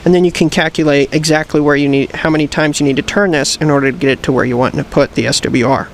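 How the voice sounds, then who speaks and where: A man with a slightly high-pitched voice presents a practical how-to. He explains each step plainly and methodically. There is some background noise with vehicle ambience around him. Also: steady tone, fast. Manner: plain, methodical instructional delivery. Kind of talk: hands-on tutorial, explaining step by step.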